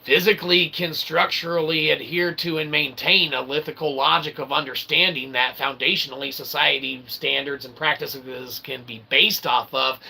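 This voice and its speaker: A man's voice: medium pitch, gravelly, sharp tone, husky, low-quality recording. A man delivering a rambling philosophical stream monologue, emphatic and wordy, with convoluted academic phrasing and folksy asides. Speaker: independent channel streamer.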